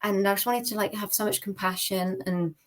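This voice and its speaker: British female podcast guest, rapid informal delivery, personal storytelling. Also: medium pitch, steady tone. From United Kingdom. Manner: informal, frequent "like", acts out her own thoughts. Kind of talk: personal testimony in casual conversation.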